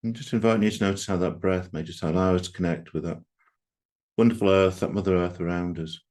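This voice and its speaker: A man leading a guided meditation as a group guide, speaking gently and unhurriedly with long pauses. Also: deep voice, fairly even intonation.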